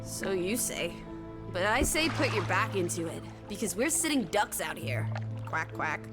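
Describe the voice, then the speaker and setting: A woman's voice: mid-range voice, very animated, measured pace, over loud music. An actress playing a playful, breezy young woman companion from the 1920s in a video game, full of period slang. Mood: impatient, playful.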